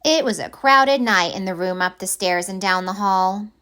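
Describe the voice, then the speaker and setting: A woman reading a children's picture book aloud in an expressive, deliberate storytelling manner. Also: mid-range voice, clean tone. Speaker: story reader.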